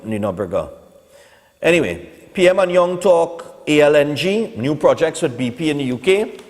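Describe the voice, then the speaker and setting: A Trinidadian man in his forties with a slightly high-set voice talks like a morning TV host, casual and opinionated, tagging his points with small checks to the listener. His voice rings with long reverb, as if in a hall. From Trinidad and Tobago. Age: 40s. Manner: conversational, opinionated, peppered with "eh" and "you know". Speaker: morning television show host. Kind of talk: casual live talk and newspaper review.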